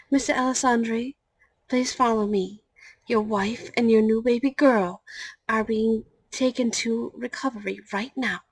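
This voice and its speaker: A woman's voice: fairly high pitch, husky, dry recording. A woman playing a patient, reassuring hospital nurse in a scripted audio roleplay, speaking politely and formally. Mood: brisk, businesslike.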